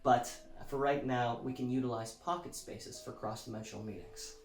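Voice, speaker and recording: An actor playing a showy, self-satisfied celebrity musician, delivering a gushing, theatrical sales pitch with dramatic emphasis. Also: male voice, fairly even intonation, moderate pace, close to an everyday voice. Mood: breezy salesmanship. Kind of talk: theatrical infomercial-style pitch in an audio drama. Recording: roomy echo, music underneath.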